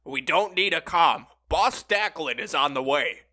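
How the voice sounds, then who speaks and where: An actor playing a scrawny, jittery, cowardly underworld lackey with an excitable, slangy way of talking in a sci-fi audio drama. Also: male voice, a little high. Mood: excited. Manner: excitable, slangy, drawn-out panicky delivery.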